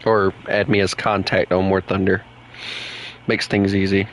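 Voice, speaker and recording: Rambling young gamer, Southern US accent, lowish voice, bright forceful tone, light noise behind. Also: male voice, level tone, unhurried. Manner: rambling, chatty, laughs often. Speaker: gaming streamer. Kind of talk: casual gaming chat.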